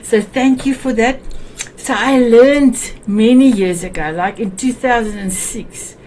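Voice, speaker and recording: A woman's voice: fairly high pitch, a little slow, a little mellow, a little hiss or hum. A woman from South Africa with an Afrikaans accent, hosting a talk show in a chatty, hesitant way with many restarts. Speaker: show host. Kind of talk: warm casual talk on a video call show.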